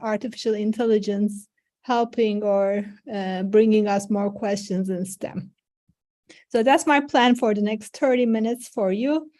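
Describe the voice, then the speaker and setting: A woman's voice: a little high, warm tone. A middle-aged woman from Turkey, a professor giving a friendly online conference talk over a video call. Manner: friendly, explanatory, many fillers.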